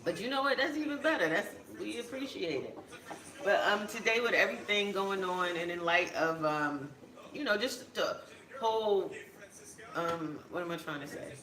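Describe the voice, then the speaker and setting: A young woman co-hosting a casual talk show, her voice hoarse and cracking, chatting with many fillers and restarts. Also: mid-range voice, lively intonation, normal speed, reverberant, a crowd in the background, low-quality recording. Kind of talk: casual chat.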